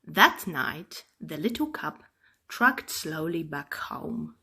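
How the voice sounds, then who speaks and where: A woman reading a children's story aloud in an expressive, dramatic storytelling style. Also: sits low, takes it slowly.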